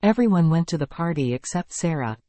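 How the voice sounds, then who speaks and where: A speaker reading short example sentences for an English lesson in a clear, measured way. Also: mid-range voice, animated, warm tone, no echo. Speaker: English teacher.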